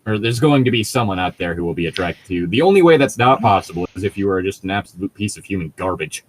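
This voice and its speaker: A man's voice: deep voice, expressive, fast, a little hiss or hum, rough recording. A man in casual podcast chat, blunt and wry, swearing freely. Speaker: co-host of a reading podcast.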